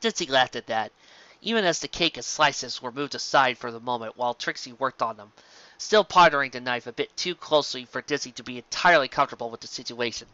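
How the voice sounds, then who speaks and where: A narrator reading a scripted story aloud in an animated storytelling style, on a slightly dull home recording. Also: medium pitch, fast talker, no echo.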